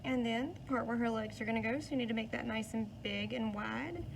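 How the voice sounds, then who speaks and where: A woman's voice: a little high, fairly quick, gravelly, noisy background. A woman teacher speaking to children, reading and explaining in a step-by-step, storytelling way. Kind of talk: children's read-aloud and instruction.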